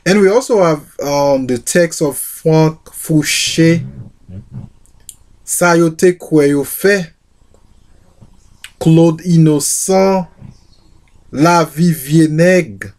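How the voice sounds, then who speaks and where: A middle-aged man who introduces a poetry collection and reads a poem aloud, speaking haltingly with many fillers. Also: on the high side, darkish tone. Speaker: literature teacher.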